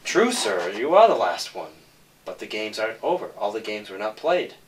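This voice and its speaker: A man's voice: on the low side, big pitch swings, normal speed, distant mic, faint music behind, poor audio. A man casually explaining a story idea, quoting the characters' dialogue back and forth.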